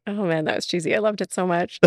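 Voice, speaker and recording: A woman's voice: on the low side, fairly even intonation, fast, a little mellow, dry recording, clean studio sound. A woman from Alberta, Canada, chatting as a guest in an interview, laughing often and talking with lots of fillers. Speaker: podcast guest. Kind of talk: casual interview chat.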